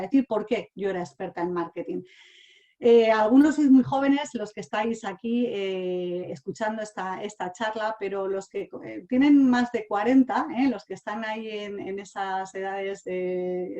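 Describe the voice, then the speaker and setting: A woman's voice: mid-range voice, mellow, highs cut off. Hesitant woman in her forties. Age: 40s. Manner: hesitant, many fillers and restarts. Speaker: speaker. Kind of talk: online talk sharing personal experience. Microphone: online talk mic.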